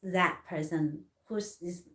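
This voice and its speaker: A woman from Hong Kong in her thirties telling a personal story in halting English with many restarts. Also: fairly low pitch, a bit bright, roomy sound. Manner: halting, many restarts and fillers, non-native grammar. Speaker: artist vlogger.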